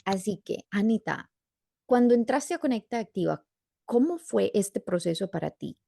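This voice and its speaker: A woman's voice: medium pitch, lively intonation, normal speed, vocal fry, mellow, no room sound, clean studio sound. A woman hosting an interview podcast, explaining at length and adding rhetorical questions as she goes. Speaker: podcast host. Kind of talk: warm interview chat.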